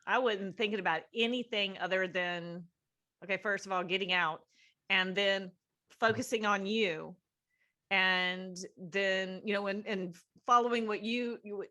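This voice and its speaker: Brief: middle-aged female co-host, bright voice, chatty and rambling. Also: medium pitch, fairly even intonation, unhurried. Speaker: podcast co-host. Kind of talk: casual interview chat.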